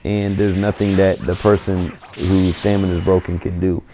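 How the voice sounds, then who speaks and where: A man getting over a cold, his voice scratchy and gravelly, giving laid-back, opinionated commentary on a video game. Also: deep voice, little pitch movement, normal speed, warm tone. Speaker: gaming commentator. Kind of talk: casual gaming commentary.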